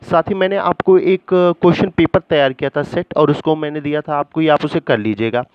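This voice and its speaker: A man's voice: a little high, brisk, clear, resonant tone, dry recording. A man teaching a recorded school lesson in an instructional way, often checking understanding and mixing in English terms. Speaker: history teacher. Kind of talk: recorded school lesson, explaining exam questions. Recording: muffled, dull lo-fi audio.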